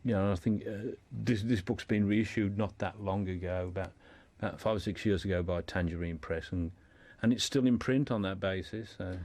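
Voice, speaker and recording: A man speaking as a guest in an informal radio discussion, explaining in a halting way and often repeating words. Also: deep voice, steady tone, darkish tone, dry recording.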